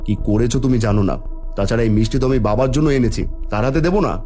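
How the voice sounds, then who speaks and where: A man voicing an upright, respectful young city son-in-law in a cartoon, speaking in an earnest, expressive way. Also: mid-range voice, very fast, lightly acted. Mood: reproachful. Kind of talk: cartoon moral-story voice acting. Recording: music faintly behind the voice.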